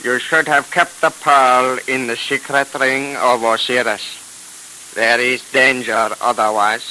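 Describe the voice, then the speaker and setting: Slow, solemn, menacing foreign agent. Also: male voice, medium pitch, little pitch movement, pushed and bright, poor audio. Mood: ominous. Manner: slow, formal, solemn speech. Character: sly, ceremonious foreign agent, grave and menacing. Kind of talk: 1930s radio serial acting. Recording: hissy old 1930s radio recording.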